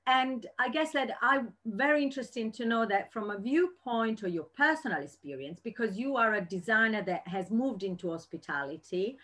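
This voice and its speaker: A woman's voice: a little high, lively intonation, normal speed, hardly any pauses, fairly bright tone. A woman moderating an online panel discussion over a video call, asking long, rambling questions with plenty of fillers.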